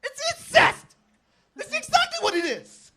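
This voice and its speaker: A man with an Armenian accent, a second-language English speaker, doing a manic, loud stand-up set at an open mic. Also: very high-pitched, huge pitch swings, a little slow, slight background noise. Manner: loud, manic, jagged delivery.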